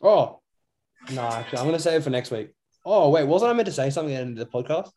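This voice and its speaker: A man's voice: a little high, expressive, very fast, mellow. A young Australian man co-hosting a podcast in casual chat, talking in a rambling, matey, slangy way. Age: young adult. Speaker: podcast co-host.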